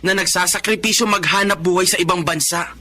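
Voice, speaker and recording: A man's voice: high-pitched, steady tone, fairly quick, bright, forceful tone, heavily acted. An actor playing a young man training to be a police officer who confronts his father, in a radio-style drama. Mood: bitter.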